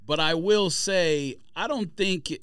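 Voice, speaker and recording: Male podcast host, fairly high register, conversational and debating, somewhat halting phrasing, dry studio recording. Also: fairly bright tone, clean tone, no echo. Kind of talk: casual interview chat.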